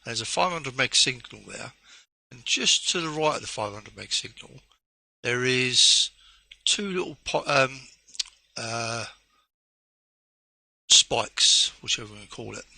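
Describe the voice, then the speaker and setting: Gravelly bright-voiced radio ham. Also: male voice, mid-range voice, lively intonation, no echo, poor audio. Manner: halting, explains technical details step by step. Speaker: amateur radio operator on a weekly net. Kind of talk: casual over-the-air chat.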